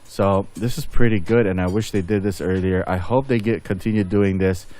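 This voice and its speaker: A man's voice: deep voice, normal speed, darkish tone. A man giving casual game update commentary as a channel host, rambling and thinking aloud as he goes.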